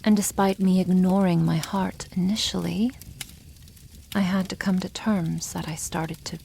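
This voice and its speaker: A woman voicing a protective, tender female dragon in a soft, intimate ASMR roleplay, with formal wording and a hushed, often half-whispered delivery. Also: lowish voice, fairly even intonation, unhurried, vocal fry, slight background noise.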